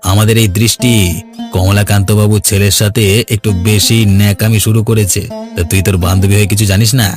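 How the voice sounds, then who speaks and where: A man voices a jealous young village busybody in a cartoon. The voice is low, with a boastful, pushy, taunting delivery over background music. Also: little pitch movement, a little fast, lightly acted. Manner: taunting, pushy, punctuated with questioning grunts. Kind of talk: cartoon voice acting.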